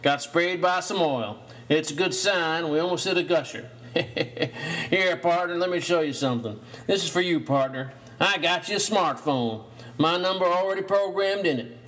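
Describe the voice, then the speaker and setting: A man's voice: a little high, normal speed, a bit bright, pitched above the performer's own voice, close to an everyday voice. A man voicing a folksy, boastful oil-drilling uncle with a chummy, breezy manner in an audio story. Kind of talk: audio horror story character voice.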